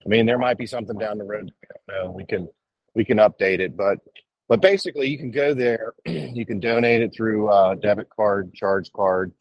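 A man with a somewhat low voice explains things on a live show, stopping often to hesitate. The sound is dry and close. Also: fairly quick, no echo, highs cut off. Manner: hesitant, full of ums. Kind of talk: casual live chat.

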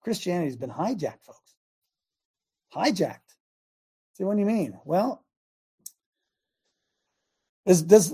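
A male talk show host with a scratchy, husky voice worn by chronic laryngitis and a lingering cough. His tone is dark and mellow. He rambles loosely, then drives his points home with fervent, emphatic preaching. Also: high voice. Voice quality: raspy, scratchy voice. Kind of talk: fiery preaching talk radio.

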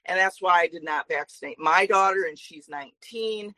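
A woman in her forties speaking as a guest, earnest and talkative with many fillers. Her voice is bright and forward, recorded dry with no room sound. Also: on the low side, normal speed, dry recording. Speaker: guest, traveling minister. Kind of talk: interview guest talk.

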